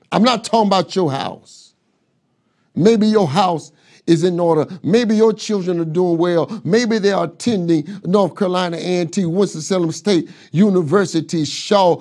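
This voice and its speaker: Middle-aged male pastor, high-pitched, slow deliberate sermon delivery, close lapel sound. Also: a little mellow. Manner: emphatic preaching with rhetorical questions and repetition. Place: pastor's office with bookshelves, seated at desk.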